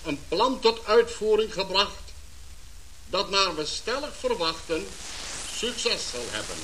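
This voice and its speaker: A man playing a solemn government official giving a formal, measured radio address in a radio play, on a hissy old recording. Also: high voice, bright, forceful tone, heavily acted, low-quality recording.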